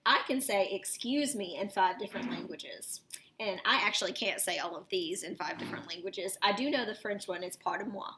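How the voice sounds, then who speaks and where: A woman teacher reading aloud to children and explaining as she goes, in an expressive manner. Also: mid-range voice, fairly quick, noisy background. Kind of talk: children's read-aloud and lesson.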